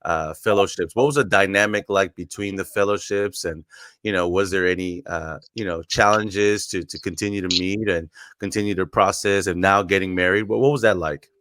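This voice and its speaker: A man's voice: deep voice, steady tone, moderate pace, a bit bright, dry recording. A man co-hosting a casual interview, asking playful questions and often restarting his phrases. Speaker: co-host.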